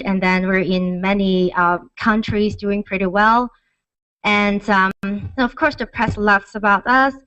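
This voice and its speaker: A woman giving an informal conference presentation about a startup, speaking in a halting, conversational way. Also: medium pitch, fairly bright tone, dry recording. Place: conference talk. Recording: dull, lo-fi microphone sound.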